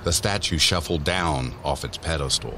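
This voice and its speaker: A man's voice: a bass voice, normal speed, heavy background noise. A man narrating a scripted horror story as the storyteller of an audio drama. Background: music under the voice.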